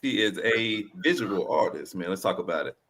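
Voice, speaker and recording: A man hosting a podcast, opening the show with energetic, slangy banter. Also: normal speed. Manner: energetic, slangy, lively shifts in pitch. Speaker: podcast host. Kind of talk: hype podcast intro.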